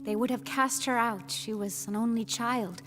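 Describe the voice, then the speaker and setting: A woman's voice: a little high, moderate pace, natural voice. A woman playing a selfless, plain-spoken former midwife telling her story in video game dialogue. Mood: confessional. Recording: game music behind the voice. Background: music.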